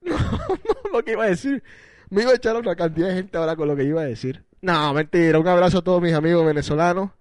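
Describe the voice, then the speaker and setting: A man's voice: sits high, expressive, fast talker, a little mellow, no echo, clean studio sound. A man hosting a casual radio talk show, teasing his co-host and joking around. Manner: teasing, playful, chatty with restarts.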